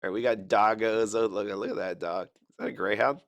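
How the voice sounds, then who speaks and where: A man's voice: lowish voice, fairly even intonation, a little fast, no echo, dull audio. A sick man rambling through casual gameplay commentary, chatty and self-deprecating, with lots of fillers and restarts. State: sick, fighting a cough. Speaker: gaming channel host.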